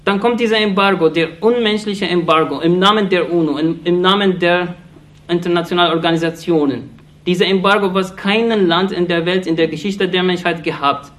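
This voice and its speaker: A man from Iraq gives an impassioned political speech in halting German, restarting phrases often and sounding earnest and accusatory. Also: sits high, steady tone, fairly bright tone. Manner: halting non-native German, frequent restarts and fillers. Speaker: speaker addressing an audience.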